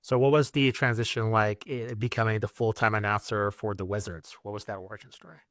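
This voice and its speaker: Male podcast host, conversational interviewer. Slightly low pitch, dry sound. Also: fairly even intonation, brisk, fairly bright tone, no echo.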